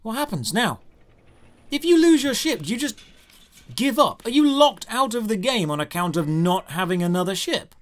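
Man, high-pitched voice, very lively intonation, sarcastic, theatrically exasperated, scripted gameplay review narration. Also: moderate pace. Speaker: game reviewer and host.

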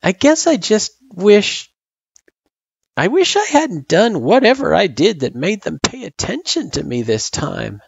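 Man voicing an anxious young girl, pitched high. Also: big pitch swings, gravelly, husky, no room sound, lo-fi sound, heavily acted. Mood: quiet regret. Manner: hesitant, halting, plaintive. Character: young anxious girl, pouty and unsure, sweet. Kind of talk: character voice in story narration.

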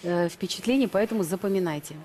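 A woman's voice: fairly low pitch, quick pace, mellow, a little hiss or hum. A woman hosting a television dating show, asking direct and teasing questions. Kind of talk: studio TV hosting.

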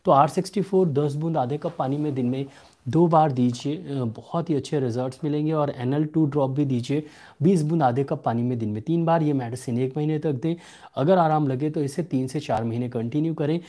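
A young male homeopathic doctor answering viewer questions in a live clinic, steady and instructive, slowing down to read questions aloud, recorded on a desk webcam in a small home room. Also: on the high side.

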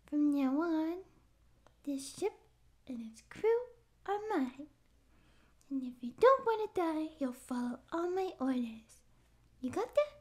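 A woman's voice: high-pitched, sing-song, slow, long pauses, exaggerated voice. A woman voicing a timid but eager young catgirl who wants to be a space pirate, girlish and sometimes whispery, with nervous bluster that slips into meek rambling, in an audio roleplay. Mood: bossy bravado. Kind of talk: scripted ASMR audio roleplay.

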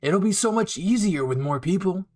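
A man playing a bitter, unhinged young villain in an anime roleplay, taunting and menacing, with dramatic pauses. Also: high voice, animated, darkish tone. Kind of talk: anime fan ASMR roleplay acting.

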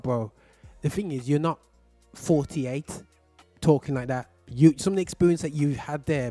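Mellow host, slang-heavy and encouraging. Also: male voice, medium pitch, dry recording. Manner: slang-heavy, encouraging, says "bro" constantly. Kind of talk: casual conversation.

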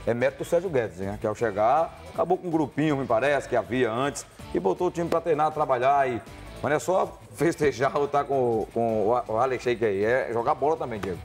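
A man in a TV studio giving brisk, opinionated sports commentary. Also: mid-range voice, soft background music.

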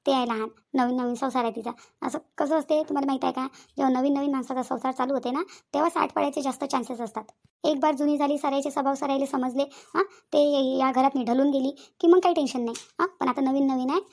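A woman acts out a practical middle-aged village matriarch in a comedy cartoon. Her high voice runs quickly through long, repetitive reassurances in a Varhadi Marathi accent, always trying to keep the family peace. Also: fairly even intonation, creaky, a little mellow. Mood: persuasive, reassuring. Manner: talkative, reassuring, repetitive persuasion.

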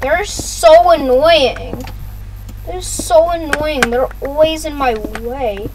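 High voice, unhurried, casual gameplay commentary, repetitive phrasing, light background noise. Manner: repeats phrases, talks to viewers. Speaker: gaming video host.

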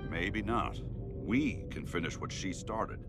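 A terse dark vigilante, deep and gruff, game score loud behind. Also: male voice, slow pace. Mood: grim. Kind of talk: video game voice acting. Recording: studio voice over game music.

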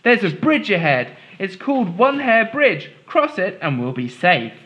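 A brave, clever girl with a high, quick, insistent voice that demands answers, put on by a man reading a storybook. The sound is muffled and lo-fi. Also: sing-song, sharp tone, heavily acted. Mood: bold.

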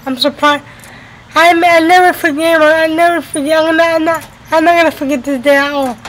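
A woman's voice: sits high, steady tone, a little fast, fairly bright tone, slight background noise, rough recording. A woman in her twenties from the United States, chatting casually to camera as a vlogger and repeating her phrases for emphasis. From United States, New Jersey and Florida. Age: 20s. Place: indoors at home by a window. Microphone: webcam at arm's length.

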